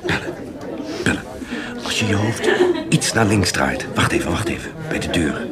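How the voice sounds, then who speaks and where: Loud crowd chatter surrounds a low male voice that swings widely in pitch. a wry, loyal eighteenth-century companion, a little bashful and hesitant in period drama. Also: lively intonation, moderate pace. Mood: watchful, urgent. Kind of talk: Dutch radio play acting. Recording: busy crowd chatter behind the voice. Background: loud tavern crowd.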